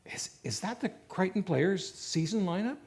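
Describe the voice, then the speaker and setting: A man with a fairly high voice delivers a scripted radio promo. His pitch swings widely, and the delivery is theatrical and exaggerated. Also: lively intonation, normal speed, darkish tone.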